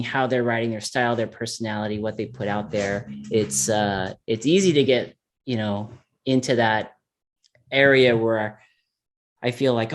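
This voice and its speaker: A man in his thirties chats with easy, animated energy as a guest, laughing often. His voice sits at a middle pitch, relaxed and conversational. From California. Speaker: interview guest, mountain biker. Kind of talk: casual conversation.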